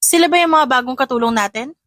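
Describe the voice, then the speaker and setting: A high-pitched, haughty young heiress as acted out by a woman narrator in an audio drama story. She is spoiled and sharp-tongued, hitting her words with sarcastic emphasis. The sound is close and dry. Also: fast talker, no echo. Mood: haughty, annoyed.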